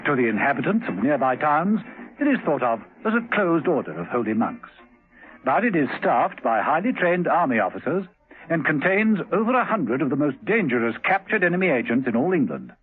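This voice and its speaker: A man's voice: a little high, sing-song, a little fast, soft background music. A man narrating a spy thriller dramatically in scripted radio drama, on a thin, phone-like old recording. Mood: dramatic, suspenseful.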